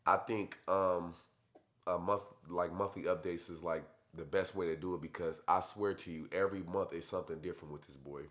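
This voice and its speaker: A young man and father chatting casually in a family vlog, hoarse from being sick, talking in a laid-back, slangy way. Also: deep voice, steady tone, a little fast, a bit bright. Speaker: vlogger, father. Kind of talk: casual chat.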